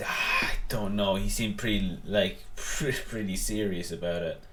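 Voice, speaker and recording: A man's voice: low-pitched, measured pace, a bit bright. A man co-hosting a podcast, chatting casually about films, laughing often and filling gaps with "um" and "like". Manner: laughs often, many fillers and restarts. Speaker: podcast co-host. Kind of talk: casual film chat.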